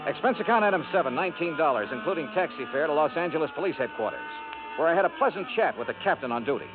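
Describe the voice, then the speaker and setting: A man's voice: a little high, expressive, very fast, lightly acted. A man with an American accent playing a dogged, wry insurance investigator in a radio noir drama, with clipped, dry delivery. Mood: wry, brooding. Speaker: lead detective and narrator. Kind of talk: 1950s radio noir acting. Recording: tinny old radio broadcast, music behind.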